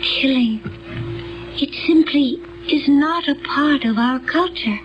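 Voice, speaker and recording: An actress playing a serene, remote woman accused of murder in a radio drama, with formal, deliberate phrasing. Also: high voice, lo-fi sound, soft background music. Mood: troubled, distant. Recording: band-limited old radio drama recording.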